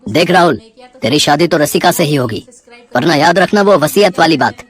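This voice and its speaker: A man's voice: a little high, lively intonation, normal speed. A man playing the brothers of a household in an acted family story. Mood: arguing. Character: men of a family: earnest brother, reluctant younger one. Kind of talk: audio story drama acting.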